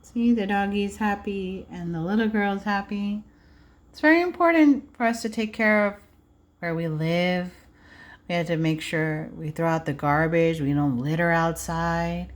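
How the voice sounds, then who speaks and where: A woman's voice: lowish voice, a little slow. A woman preschool teacher reading aloud to young children, adding simple explanations and checking in with questions. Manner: simple child-directed phrasing.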